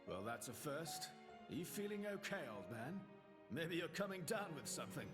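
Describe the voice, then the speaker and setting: A man's voice: on the high side, sing-song, normal speed. A man playing a cheeky, irreverent but loyal young prince in a video game, with a flippant, casual way of talking. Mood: teasing, offhand. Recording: loud music over the voice.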